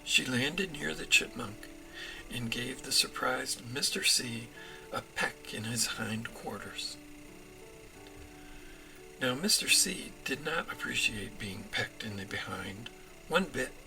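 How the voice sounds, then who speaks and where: A man reading his children's story as narrator, in an expressive storytelling manner. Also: medium pitch, slow, creaky, over music, poor audio. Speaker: author reading own storybook.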